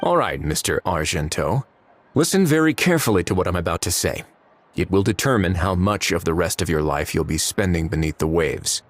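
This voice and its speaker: An actor playing a calm, authoritative male warden in a video game, speaking in a cool, measured, dryly commanding way. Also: low voice. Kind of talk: video game cutscene dialogue.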